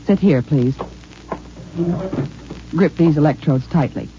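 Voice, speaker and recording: A woman's voice: low voice, slow pace, over music. An actress playing a composed, formal and emotionally guarded woman scientist in a 1950s radio drama. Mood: cool, formal. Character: cool, restrained woman geneticist professor in underground future. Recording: dull, muffled old radio recording.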